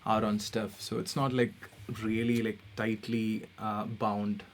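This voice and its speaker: A man from Kerala, a classical singer, explaining his tradition in a relaxed, playful way. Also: fairly low pitch, a little slow. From Kerala, India. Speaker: guest, classical vocalist. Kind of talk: casual interview chat.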